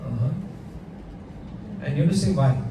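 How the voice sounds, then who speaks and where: A man's voice: mid-range voice, animated, moderate pace. A man leading a devotional group discussion, asking questions and laughing often, recorded from far off in an echoey room. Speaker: discussion leader. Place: room at a home gathering. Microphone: far from mic. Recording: echoey room, far mic, background noise.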